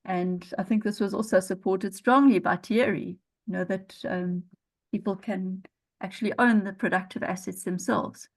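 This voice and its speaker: A woman's voice: medium pitch, steady tone, normal speed. A woman, the report's author, answering respondents earnestly at a panel and often restarting her phrases.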